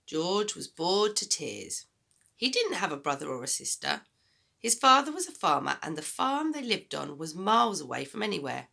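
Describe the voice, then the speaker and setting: Very animated woman reading a story. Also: lowish voice, moderate pace, creaky. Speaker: teacher reading aloud. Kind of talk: expressive children's story narration.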